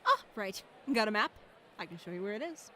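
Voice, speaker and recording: A woman's voice: mid-range voice, very animated, fairly quick, sharp tone. Blunt, street-smart woman playing a game character. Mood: casual, candid. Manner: slangy, matter-of-fact. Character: blunt, street-smart woman who gossips about local gangs. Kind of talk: visual novel character dialogue.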